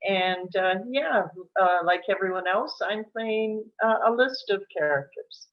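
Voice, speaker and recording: A woman's voice: medium pitch, lively intonation, measured pace, highs cut off. A woman from Alberta, Canada, giving a casual, hesitant self-introduction as a cast member.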